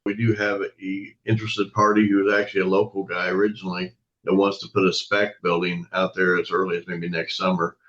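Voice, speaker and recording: Casting: American man, local official in unscripted interview, low monotone voice, somewhat muffled recording. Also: a little fast. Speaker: city mayor being interviewed. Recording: slightly dull, lo-fi interview audio.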